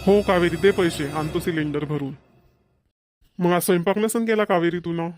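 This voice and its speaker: A man's voice: a little high, fairly even intonation, normal speed, soft background music, lightly acted. A man playing a broke village father, scolding yet coaxing, in a cartoon comedy with a Vidarbha Marathi accent. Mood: agreeable.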